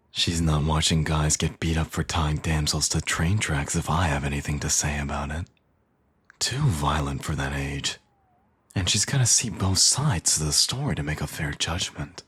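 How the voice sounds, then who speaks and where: A man's voice: rumbling low, breathy edge, exaggerated voice. A man playing a smug, teasing supervillain and doting father in an intimate ASMR audio roleplay, coaxing and sardonic. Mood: sardonic, insistent.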